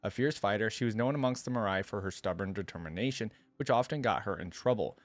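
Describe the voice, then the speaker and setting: A man's voice: on the low side, fast, no room sound. A man hosting a reaction video, switching between casual, think-aloud commentary and reading text aloud.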